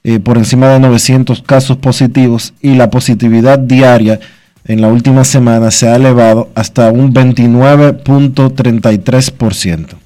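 A man's voice: medium pitch, steady tone, normal speed, mellow. A man co-hosts a casual radio show, his voice congested with flu. He speaks in an informative way, reading out numbers and announcements plainly. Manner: informative, reads out figures. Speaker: radio co-host.

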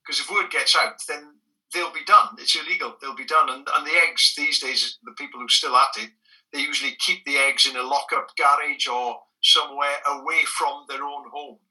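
A middle-aged man with a Welsh accent tells blunt stories in a very bright, cutting voice. He sits a little away from the microphone, so the sound is slightly distant. He stumbles and restarts often as he talks. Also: on the high side, normal speed. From Wales. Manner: frank, animated storyteller with frequent restarts. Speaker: guest, former bird protection officer. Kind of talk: conversational anecdote.

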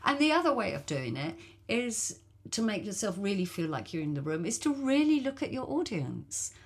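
A woman's voice: medium pitch, sing-song, normal speed, breathy edge. A woman storyteller talking casually and thoughtfully on a podcast, often affirming the others. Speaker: storyteller guest.

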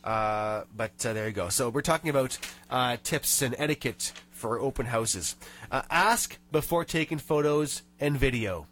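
A man's voice: medium pitch, normal speed, a bit bright, a little hiss or hum. A man hosting a radio show in a studio, nasal from a cold, chatting and reading tips aloud with small rants. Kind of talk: casual radio chat.

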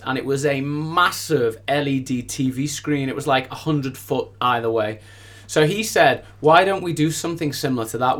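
Gushing, higher-pitched young-sounding male musician guest. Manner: gushing, enthusiastic. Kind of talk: casual anecdotal interview chat.

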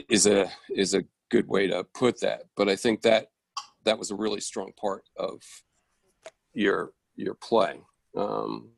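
A man's voice: lowish voice, vocal fry, slightly rough, no echo. A man giving casual, reflective feedback in a discussion, earnest and searching for his words. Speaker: audience member giving feedback on a play.

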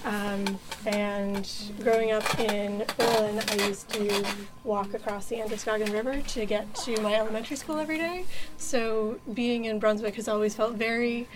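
A woman from New Hampshire in her thirties, giving reflective interview answers as a guest, pausing and restarting often, with dry self-deprecating humor. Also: medium pitch, fairly even intonation, noisy background. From New Hampshire, USA. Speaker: sustainability outreach coordinator, guest.

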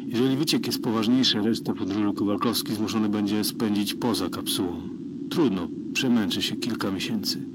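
A man's voice: on the low side, a little fast, background noise, natural voice. A man playing a worried spaceship crewman methodically and formally recording a solitary audio log in a radio drama. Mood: matter-of-fact. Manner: methodical, formal, dryly reporting.

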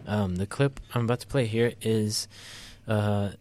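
A man's voice: lowish voice, unhurried, a little mellow. A man co-hosting a casual political podcast, talking thoughtfully with lots of fillers and restarts. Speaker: podcast co-host.

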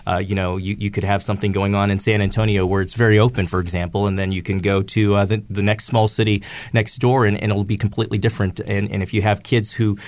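A man with a cold, a news anchor guesting on a studio show, talking in a measured, hesitant way with many fillers. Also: on the low side, little pitch movement, darkish tone, no room sound. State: has a cold. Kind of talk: studio interview. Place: radio studio. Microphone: studio mic.

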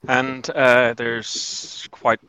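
A man's voice: mid-range voice, flat delivery, very slow, sharp tone, clean tone, dry recording. A man hosting a casual football chat, hesitant and full of restarts and ums. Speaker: podcast host.

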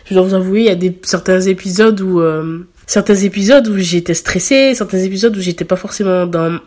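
A young woman hosting her own podcast, talking earnestly in a halting way with many fillers and restarts, a little stuffy from a slight cold. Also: medium pitch, darkish tone. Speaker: podcast host. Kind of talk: casual solo podcast talk.

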